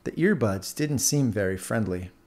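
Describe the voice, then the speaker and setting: A man narrating a scripted children's story aloud in an expressive storyteller's manner. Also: lowish voice, moderate pace. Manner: expressive, animated.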